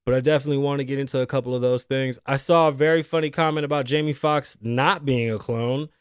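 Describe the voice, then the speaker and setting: A man in his thirties hosts a casual talk show, his voice hoarse. He rambles loosely and profanely at a mid pitch, joking and tossing asides to his crew. The sound is dry and close, with no room around it. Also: steady tone, quick pace, dry recording. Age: 30s. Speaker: host. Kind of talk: casual podcast monologue. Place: show set in Las Vegas.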